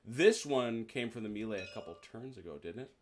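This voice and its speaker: A man's voice: lowish voice, animated, fairly quick, a little hiss or hum. A man hosting a video call, casually walking players through the rules of a tabletop wargame with plenty of restarts. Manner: chatty, explains rules with many restarts and "uh"s. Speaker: host teaching a tabletop wargame.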